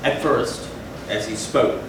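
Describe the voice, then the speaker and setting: A man narrating gothic horror as a dramatic stage reading, solemn and theatrical with deliberate phrasing. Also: mid-range voice. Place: indoor theatre, recorded from a distance. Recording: very echoey hall, far mic, noisy.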